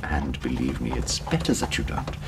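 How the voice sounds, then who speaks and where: Coolly menacing kidnapper, very animated. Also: male voice, a bass voice, normal speed, exaggerated voice. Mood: smoothly threatening. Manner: insistent, smoothly threatening. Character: coolly menacing male kidnapper, outwardly courteous. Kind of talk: radio drama acting. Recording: noisy radio drama sound scene.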